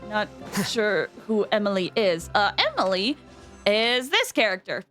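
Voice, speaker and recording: A woman's voice: mid-range voice, big pitch swings, a little slow, a bit bright, faint music behind. A woman hosting a game livestream, chatting casually and informally with viewers, often drifting into asides.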